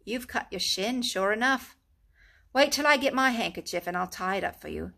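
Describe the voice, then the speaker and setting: A woman voicing a kindly, excitable male rat who talks briskly and eagerly. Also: mid-range voice, creaky, exaggerated voice.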